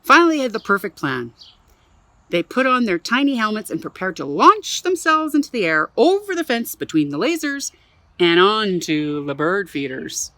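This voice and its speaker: Lively woman reading a children's story. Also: mid-range voice, moderate pace, no room sound. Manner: animated, with chatty asides. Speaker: museum storyteller. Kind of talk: reading a picture book aloud to children.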